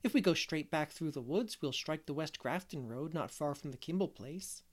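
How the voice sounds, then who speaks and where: A woman reading a gentle young teacher. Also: low-pitched, lively intonation, normal speed. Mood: practical. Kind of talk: audiobook character voice.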